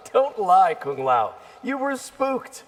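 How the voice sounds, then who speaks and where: A good-natured young ninja from a fighting game. His voice is higher and a little rough, ringing with hall reverb. He sounds competitive and casual. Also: very animated, very slow, heavily acted. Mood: teasing. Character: earnest young male ninja, friendly and competitive. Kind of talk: video game fight banter.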